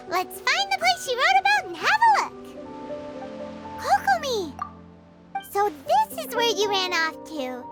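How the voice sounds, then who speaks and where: A woman voicing a small, excitable, caring fairy companion girl in a video game, chirpy, blunt and curious. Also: extremely high pitch, exaggerated voice. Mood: curious, upbeat. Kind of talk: video game character dialogue. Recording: music behind the voice. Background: prominent game music.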